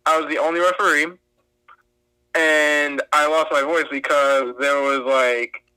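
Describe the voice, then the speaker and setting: A man's voice: on the high side, level tone, measured pace, pushed and bright, smooth, no echo, low-quality recording. A young man, a wrestling referee, answering interview questions casually with lots of "like" fillers. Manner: chatty, many fillers like "like".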